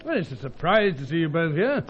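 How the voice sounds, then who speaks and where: A man's voice: fairly high pitch, sing-song, brisk. An actor playing a genial old British navy commander in a radio serial, hearty and old-fashioned, often repeating his words, with a British accent. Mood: genial, grateful. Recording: muffled radio serial sound.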